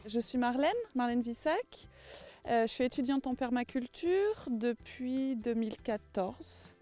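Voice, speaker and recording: A woman's voice: fairly high pitch, unhurried, dark tone. A woman giving an interview as a designer, explaining things in an articulate, spontaneous way. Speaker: permaculture designer. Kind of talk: documentary interview, spontaneous speech.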